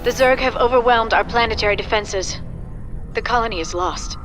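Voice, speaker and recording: An actress playing an earnest, worried colony doctor in a video game cinematic, speaking formally. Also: female voice, fairly high pitch, normal speed, over music, close to an everyday voice. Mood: urgent, worried.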